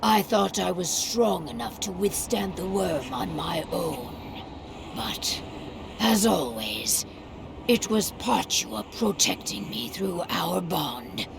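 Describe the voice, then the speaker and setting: A woman playing a proud, solemn werewolf tribe leader in a video game cutscene, speaking gravely with breathy, half-whispered phrases. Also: fairly low pitch, lively intonation, slow, background noise, exaggerated voice. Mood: solemn, remorseful.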